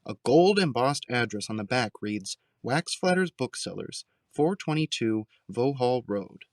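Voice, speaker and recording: A male narrator reads scripted audio drama scenes at a medium pitch. The sound is dry, with no room around the voice. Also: expressive, unhurried, no room sound.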